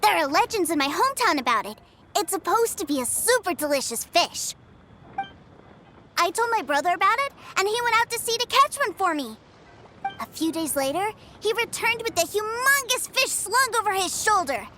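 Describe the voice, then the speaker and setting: A woman voicing an eager, naive little boy in a game, curious, wide-eyed and excitable. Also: extremely high pitch, normal speed, a little hiss or hum, exaggerated voice. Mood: proud, earnest. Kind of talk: animated game voice acting.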